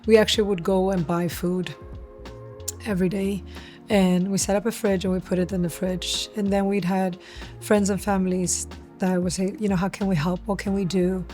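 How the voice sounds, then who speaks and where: Even, mellow woman's voice over music. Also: mid-range voice, moderate pace. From Stockholm, Sweden; born in Eritrea. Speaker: founder of a community food nonprofit, interviewee. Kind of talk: reflective interview talk.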